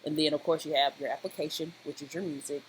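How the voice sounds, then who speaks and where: A software tutorial presenter talking informally through the steps in a rambling, halting way, often checking in with a short question. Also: medium pitch, fairly even intonation. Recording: clearly noisy home-style voice-over recording.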